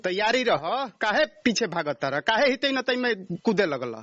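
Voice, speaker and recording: A man's voice: high-pitched, sing-song, moderate pace, fairly bright tone, dry recording. A man with a Bhojpuri accent from Bihar arguing heatedly on a recorded phone call, combative and insistent, often tacking on tag questions. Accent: Bhojpuri-belt Bihar. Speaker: caller in a dispute.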